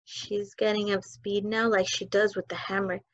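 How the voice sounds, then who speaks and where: A woman voicing a knowing older schoolgirl who talks in low, hushed tones. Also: level tone, normal speed, lightly acted. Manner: whispering, murmuring. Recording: dull lo-fi dry recording.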